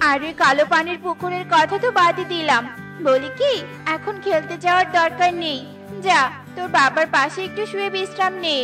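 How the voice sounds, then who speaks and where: Cartoon crow wife woman performer, very high-pitched, demanding and scolding, with loud music underneath. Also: brisk. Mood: worried. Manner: scolding, insistent. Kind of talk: children's cartoon dubbing.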